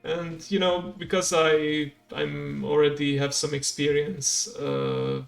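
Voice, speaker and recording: Streamer who talks a lesson through slowly; slightly higher voice with a gravelly edge. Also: male voice, flat delivery, soft background music. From Romania. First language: Hungarian. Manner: slow, thinks aloud, explains step by step. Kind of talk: live-streamed language lesson playthrough.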